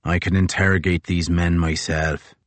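Guy doing a grim navy captain, low and clipped, dry close mic. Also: little pitch movement, normal speed, dry recording, lightly acted. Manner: low, curt and cold. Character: grim, hard older naval captain.

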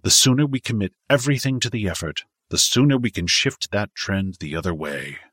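A man voicing a brash, domineering wealthy businessman in an audiobook, forceful and cocksure. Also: on the low side, animated, a little fast, slightly rough, no echo, clean studio sound. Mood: combative, confident.

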